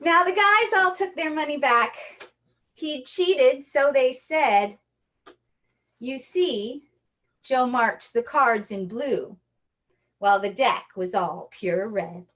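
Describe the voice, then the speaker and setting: A playful woman magician from Hawaii with a bright, high voice delivers jokey stage patter straight to the camera. She performs for an online audience, speaking into the camera mic. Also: slow. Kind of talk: online magic show with rhyming story patter.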